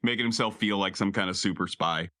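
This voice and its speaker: A man's voice: lowish voice, quick pace, sharp tone, dry recording, clean studio sound. A man playing a tabletop roleplaying game in casual, sardonic, exasperated banter with some swearing. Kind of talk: casual banter and in-character chat.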